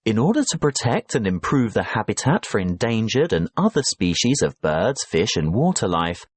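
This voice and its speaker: A man reading a scripted lecture and exam instructions in a measured, clearly enunciated way. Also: mid-range voice, no room sound. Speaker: exam recording narrator.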